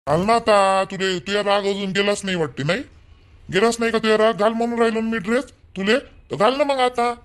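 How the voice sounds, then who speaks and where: A man's voice: sits high, brisk, a bit bright, slight background noise. A man with a Vidarbha Marathi accent playing a young newly married husband in a village cartoon, blunt, bossy and impatient.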